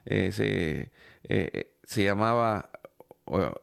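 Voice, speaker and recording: Male host, gravelly and unhurried, reflective faith talk with frequent pauses and restarts. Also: fairly low pitch, lively intonation. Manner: hesitant, many fillers and restarts. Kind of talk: reflective talk with personal story.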